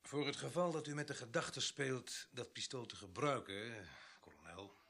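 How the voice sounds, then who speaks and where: An actor playing a stubborn, principled village police sergeant in a radio play, plain-spoken and dryly defiant. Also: male voice, mid-range voice, expressive, normal speed, a bit bright. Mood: firm, dry. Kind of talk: Dutch radio play acting.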